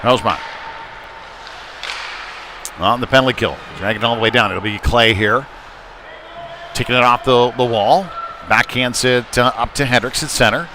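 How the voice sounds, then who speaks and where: Man calling hockey over clear rink noise. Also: medium pitch, moderate pace, pauses often. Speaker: play-by-play hockey commentator. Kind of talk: live ice hockey commentary. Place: indoor ice rink. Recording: noisy live arena stream, rink ambience. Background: clear rink noise and ambience.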